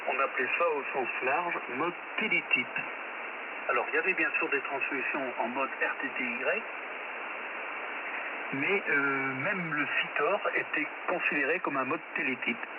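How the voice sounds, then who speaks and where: A man, chatting casually as an amateur radio operator over shortwave, rambling hesitantly with frequent pauses and self-corrections. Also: a little high. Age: 70s. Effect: shortwave radio transmission. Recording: narrow-band shortwave radio with loud static.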